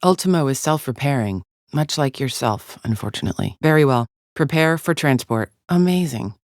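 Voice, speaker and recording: A woman's voice: rumbling low, expressive, moderate pace, a little mellow, no room sound, clean studio sound. A woman playing a haughty, contemptuous female alien agent in a video game, speaking formally with clipped, disdainful precision. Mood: cool, condescending. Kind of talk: scripted video game character lines.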